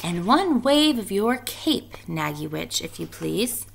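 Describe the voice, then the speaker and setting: A woman voicing a small, polite little monster who gives careful, slow instructions in a children's storybook. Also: fairly low pitch, big pitch swings, gravelly, a little hiss or hum, exaggerated voice. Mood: earnest. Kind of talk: children's storybook read-aloud.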